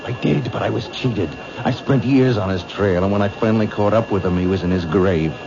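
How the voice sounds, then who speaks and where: A man's voice: fairly low pitch, a little fast, creaky, a crowd in the background. An actor playing a vengeful gunman, bitter and menacing with slangy tough-guy talk, in a radio mystery drama. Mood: bitter anger. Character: vengeful gunman, grown son of a murdered grocer. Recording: muffled, noisy old radio drama recording.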